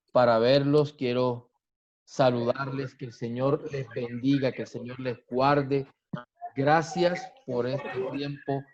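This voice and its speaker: A man's voice: medium pitch, background noise. A man, a pastor who prays and blesses people fervently over a video call, repeating his phrases. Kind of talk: preaching and greeting viewers online. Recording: dull muffled online stream audio.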